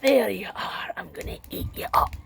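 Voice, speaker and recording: A woman voicing a huge hungry male giant in a slow, low, threatening whisper. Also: fairly bright tone, close to an everyday voice. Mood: menacing.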